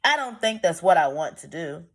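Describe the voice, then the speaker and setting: A woman's voice: on the low side, very animated, moderate pace, voice pushed down. A woman voicing a willful, eager little girl in a storybook, sounding self-assured. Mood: stubborn.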